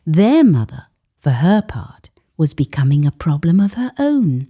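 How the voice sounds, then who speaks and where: A woman narrating a scripted folktale as a storyteller, in a measured, deliberate and dramatic way with weighted pauses. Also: low voice, big pitch swings, mellow, slightly rough.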